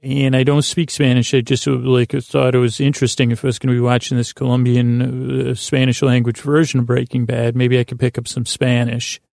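A man hosting a sleep podcast with a creaky voice, giving a meandering bedtime monologue in a lulling, halting way, often correcting himself. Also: little pitch movement, darkish tone, dry recording, studio quality. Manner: rambling, halting, self-correcting; soothing, lulling, low-key.

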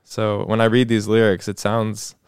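A man's voice: fairly low pitch, monotone, moderate pace, a little mellow, clean tone, no echo, studio quality. A man, a guest musician, talking casually and thoughtfully on a podcast, with frequent fillers and restarts.

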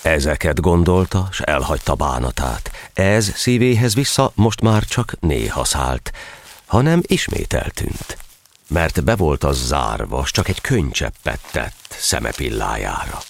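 Deep, theatrical male verse narrator. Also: creaky. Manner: theatrical, expressive. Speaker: narrator and actor. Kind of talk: dramatic verse storytelling.

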